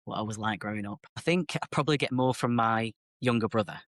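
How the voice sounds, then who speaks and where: A middle-aged man speaks openly and reflectively about his life as a podcast guest. His voice sits on the low side, and the recording is dry and close. He circles back and restarts phrases as he talks. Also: quick pace, a bit bright, no room sound. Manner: open, reflective, chatty, self-correcting. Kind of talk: candid personal storytelling.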